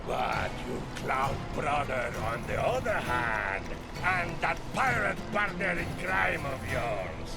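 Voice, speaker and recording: An actor playing a haughty, menacing aristocrat villain in a video game, imperious and theatrical, with a temper. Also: male voice, mid-range voice, very animated, takes it slowly, few pauses, over loud music. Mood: smug menace.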